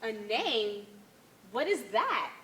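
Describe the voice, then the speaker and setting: A woman's voice: on the high side, very animated, takes it slowly, a bit bright, full character voice. A woman on stage playing a haughty, literal-minded member of a blue cult, puzzled and disdainful. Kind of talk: amateur one-act stage play acting. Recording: faint noisy stage ambience.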